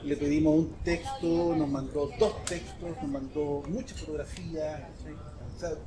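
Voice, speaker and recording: A man speaking as a guest in casual conversation, haltingly repeating phrases as he explains. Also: on the high side, steady tone, crowd noise. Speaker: guest, book project creator.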